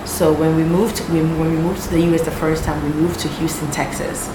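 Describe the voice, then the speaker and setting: A woman's voice: low-pitched, flat delivery, fairly quick. A young Ghanaian woman, a native Twi speaker, chatting casually to camera in a vlog and often checking in with "okay?". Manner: chatty, keeps asking "okay?". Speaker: vlogger. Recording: echoey room with loud air conditioning hum.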